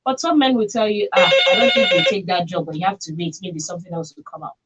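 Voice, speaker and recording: A woman's voice: lowish voice, fast talker, muffled recording, very noisy. A Nigerian woman hosting a live radio call-in show from a studio.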